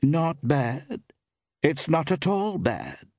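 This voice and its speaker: A man voicing a cool, inquisitive village shopwoman with a slow, deliberate delivery, in an audiobook story. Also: a little high, sing-song, vocal fry, darkish tone, no room sound, clean studio sound, voice pushed up, heavily acted. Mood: cool, guarded.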